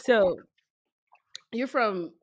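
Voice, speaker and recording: A woman's voice: medium pitch, extremely slow, dry recording. A woman hosting a podcast, asking questions in a blunt, teasing way. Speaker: podcast host. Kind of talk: casual podcast chat.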